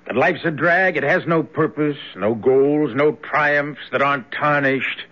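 A man's voice: a little high, a little slow. An actor playing a bitter young man turned menacing devil worshipper in an old-time radio drama, brooding and theatrical. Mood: bitter, cynical. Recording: dull, band-limited old radio recording.

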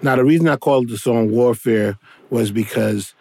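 A man, telling reflective stories as a musician in measured, deliberate phrases. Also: mid-range voice, a crowd in the background. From South Bronx, New York, United States. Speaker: musician and storyteller. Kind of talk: reflective spoken storytelling.

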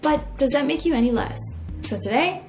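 A young woman with an American accent narrating a personal essay in an expressive way as a podcast host. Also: high voice, moderate pace. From United States. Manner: expressive, acts out quoted remarks. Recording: roomy echo, faint music.

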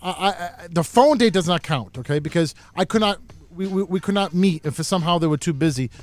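High-pitched, excitable man in his forties. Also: fairly quick. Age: 40s. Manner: excitable, high-energy, repeats himself. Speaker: talk show guest, comedian and filmmaker. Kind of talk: casual banter. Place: radio-style studio.

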